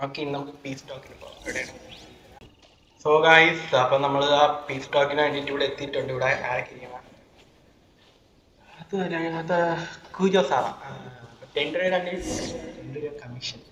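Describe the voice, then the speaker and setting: A Malayali man comments casually on a game he is streaming. He talks very quickly in a mid-range voice. The sound is echoey and dull. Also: mid-range voice, fairly even intonation. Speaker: gaming streamer. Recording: echoey, dull microphone with noise.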